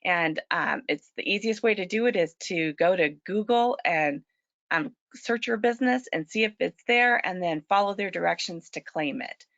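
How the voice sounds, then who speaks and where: Hoarse female presenter, lower pitch, fry, steady explaining with ums, dull web audio. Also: normal speed, no room sound. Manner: clears throat often, many ums. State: a little hoarse from allergies. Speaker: webinar presenter. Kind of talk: informational webinar presentation.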